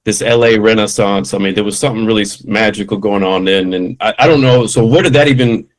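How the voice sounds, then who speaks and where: A man's voice: on the low side, fast talker. A man hosting a podcast who asks long, rambling, enthusiastic questions. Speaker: podcast host. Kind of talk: casual interview chat.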